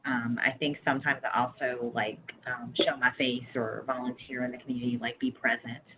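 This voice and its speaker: Woman, very low pitch, casual interviewee, conversational, informal phrasing, dull phone audio, background noise. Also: little pitch movement, brisk. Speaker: interviewee, community engagement program staffer. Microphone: phone line. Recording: muffled lo-fi phone call, clear noise.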